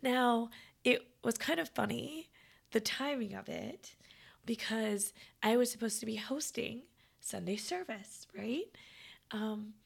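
Hoarse, raspy woman half-whispering. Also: a little high, slow. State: hoarse, losing her voice. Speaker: podcast host. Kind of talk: casual reflective monologue.

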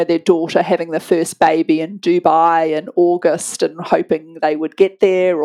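A woman in a relaxed podcast interview. Her voice sits a bit low for a woman. She talks candidly and conversationally. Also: creaky. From New Zealand. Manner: candid and chatty, laughs easily. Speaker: guest, anaesthetist.

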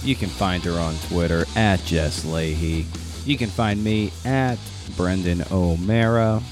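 Slow-talking, lowish-voiced man over guitar. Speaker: podcast host. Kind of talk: casual podcast outro. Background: guitar music.

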